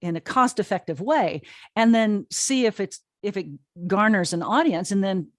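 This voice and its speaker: A woman's voice: mid-range voice, moderate pace, dry recording, studio quality. A woman giving conversational interview answers in an enthusiastic, emphatic and informal way. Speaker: interview guest, audio drama streaming co-founder.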